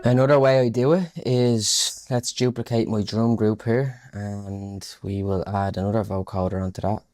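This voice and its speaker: Low-voiced man giving an informal tutorial. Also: steady tone, moderate pace, darkish tone, no room sound, clean studio sound. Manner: casual, thinking aloud while working. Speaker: music production tutor. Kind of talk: informal screen-recorded tutorial.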